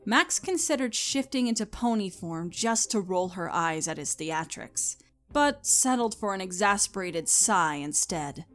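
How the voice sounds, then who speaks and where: A woman's voice: medium pitch, animated, a little slow, faint music behind. A woman reading scripted story narration expressively, as the narrator of a comic tale.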